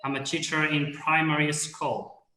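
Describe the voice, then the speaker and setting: A man's voice: fairly high pitch, steady tone, unhurried, bright, forceful tone, echoey room, rough recording. A man, speaking in a hesitant way with many fillers as a guest on a video call. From Morocco. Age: 30s. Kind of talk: casual video call chat.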